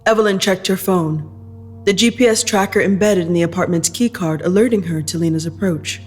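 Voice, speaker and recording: A woman reading scripted dramatic fiction as narrator, in a measured, deliberate manner. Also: lowish voice, faint music behind.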